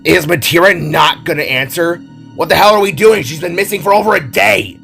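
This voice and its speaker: A man's voice: high voice, fairly quick, gravelly, bright, forceful tone, pitched above the performer's own voice, full character voice. A man voicing a panicked, exasperated female fighter in an audio drama, with music behind. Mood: frantic. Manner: urgent, exasperated.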